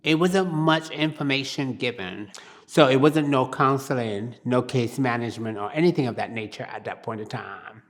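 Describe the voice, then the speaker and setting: A woman's voice: very deep voice, normal speed. An older woman from Barbados, telling her life story in an oral history interview in a plain-spoken, reflective way.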